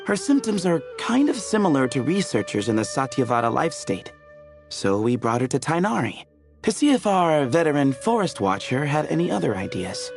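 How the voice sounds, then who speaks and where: Easygoing young game hero, lively pitch, gravelly edge, breezy, friendly. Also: male voice, moderate pace, soft background music. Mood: casual, explaining. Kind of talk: video game voice acting.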